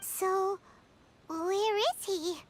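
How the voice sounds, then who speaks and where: A woman voicing a tiny, excitable, childlike fairy companion in an anime game, perky and exclamatory. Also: very high voice, drawn-out words, a little hiss or hum, heavily acted. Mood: curious.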